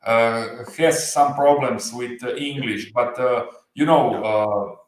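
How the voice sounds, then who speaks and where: Slow, halting Slovenian-born man, echoey room. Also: mid-range voice, steady tone. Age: 40s. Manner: halting, searching for English words. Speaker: guest. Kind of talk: casual livestream chat. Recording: roomy echo.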